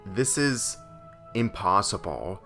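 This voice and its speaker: Storybook teenage daughter, easily startled and unsure, read by a man, slow and hesitant. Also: on the low side, very animated, bright, forceful tone, soft background music, exaggerated voice. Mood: confused. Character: teenage girl, startled, doubtful, thankful daughter. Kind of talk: storybook character dialogue.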